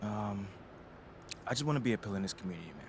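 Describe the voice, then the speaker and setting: A man's voice: lowish voice, animated, rapid-fire, background noise, close to an everyday voice. An actor voicing a laid-back young male rookie basketball player in a video game cutscene, talking casually with slang and jokes. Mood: relaxed. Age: young adult.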